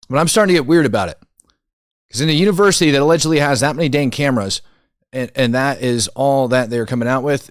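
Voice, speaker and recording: A man's voice: medium pitch, no room sound, studio quality. A man hosting a commentary show, talking casually and conversationally with incredulous emphasis and repeated phrases.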